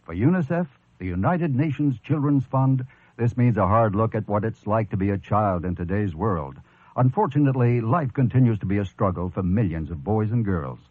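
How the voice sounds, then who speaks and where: Narrow, phone-like and dry, this is a man's husky announcer voice. He reads an earnest script with lively intonation. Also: medium pitch, no room sound. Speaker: public service announcer.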